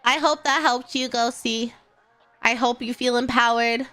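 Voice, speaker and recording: A woman's voice: on the high side, steady tone, unhurried, bright, forceful tone, no room sound. A young adult woman streamer, chatting casually with her live chat, encouraging and laughing often, a little stuffy and congested. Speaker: VTuber streamer. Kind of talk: casual livestream chat, reading chat.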